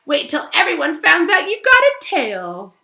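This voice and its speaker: A woman voicing a gleeful, spiteful ghost girl in a storybook, gloating with drawn-out words. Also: extremely high pitch, huge pitch swings, creaky, bright, forceful tone, voice pushed up, full character voice.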